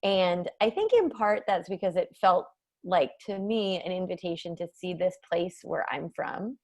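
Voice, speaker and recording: A woman author from Massachusetts speaks in a casual, reflective way on a video call. Her voice sits on the low side and is noticeably creaky. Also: animated, moderate pace. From Massachusetts, United States.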